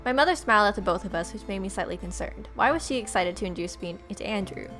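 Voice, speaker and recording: A woman's voice: medium pitch, expressive, fast. Casting: female gaming host, mid-range, first-person story narration with playful asides, light music underneath. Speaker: gaming video host reading a visual novel.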